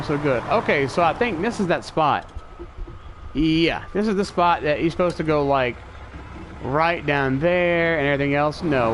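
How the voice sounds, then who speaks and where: A man with a stuffy nose from allergies, thinking aloud in casual live commentary as he streams a truck-driving game. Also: on the high side. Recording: clear game engine and truck noise behind voice. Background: truck engine and vehicle sounds from the game.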